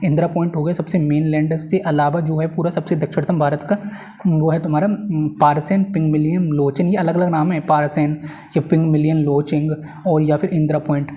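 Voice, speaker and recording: A man's voice: fairly high pitch, level tone, brisk, dark tone. A man teaches in an informal lecture style, repeating key points and often checking that listeners follow. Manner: repetitive, checking understanding with tag phrases. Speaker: teacher for exam preparation. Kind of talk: informal lecture explaining at a board.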